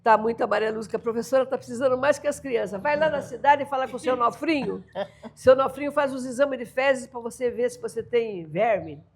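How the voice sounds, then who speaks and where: An older woman from the São Paulo coast with a caiçara accent, telling folk stories in a lively, laughing way as an interview guest. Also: a little high, fairly quick, slightly rough. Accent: Brazilian Portuguese, São Paulo coast (caiçara). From São Paulo coast, Brazil. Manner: lively, laughing, voices family members in dialogue. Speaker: storyteller guest. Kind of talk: animated storytelling of folk tales.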